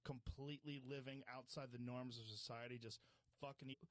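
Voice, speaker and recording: A man's voice: mid-range voice, flat delivery, brisk, dry recording. Casual male podcast host, dry sound. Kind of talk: casual chat.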